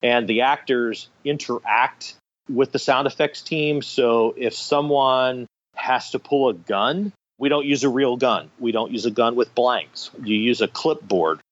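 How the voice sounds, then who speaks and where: Male podcast guest, mid-pitched, explanatory and anecdotal, slightly dull lo-fi sound. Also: a little slow. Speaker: podcast guest, radio theatre director. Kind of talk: conversational interview answers.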